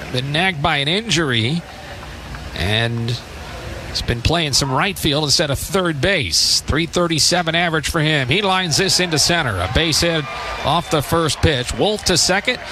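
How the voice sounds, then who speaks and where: A man with a slightly high voice gives live radio baseball commentary. He is measured between pitches and ready to lift on the action. Clear outdoor ambient noise runs behind him. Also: expressive. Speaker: baseball play-by-play announcer.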